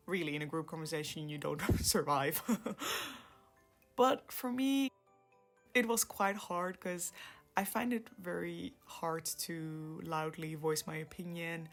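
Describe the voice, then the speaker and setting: A soft-spoken woman from the Netherlands tells her story in a low voice with a gravelly edge. She often laughs and now and then drops to a whisper, while faint music plays behind her. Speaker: vlogger. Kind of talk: reflective personal storytelling. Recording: light background music.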